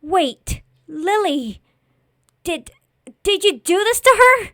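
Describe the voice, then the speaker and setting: A woman playing a terrified, grieving farm boy who shouts in panic and hostility in a horror story. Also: extremely high pitch, big pitch swings, slow pace, no echo, studio quality, pitched above the performer's own voice, full character voice. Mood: shocked grief.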